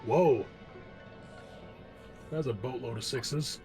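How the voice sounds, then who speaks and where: A man running a tabletop roleplaying game as game master, narrating conversationally with halting pauses. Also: medium pitch, a little mellow, faint music behind.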